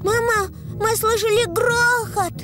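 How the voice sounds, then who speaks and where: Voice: very high voice, fairly even intonation, takes it slowly, over music. A very young-sounding performer voicing an eager little girl piglet in a children's cartoon dub. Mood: worried. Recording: loud noise behind the voice.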